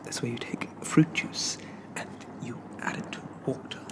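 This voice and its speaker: A man playing a shy, polite young zombie from a bygone era in a soft-spoken ASMR roleplay, stammering and apologizing in old-fashioned phrases. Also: normal speed, natural voice. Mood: eager, shy.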